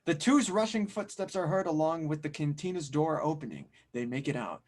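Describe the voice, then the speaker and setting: A man's voice: on the high side, expressive, brisk. A man reading stage directions as narrator in a scripted audio drama table read.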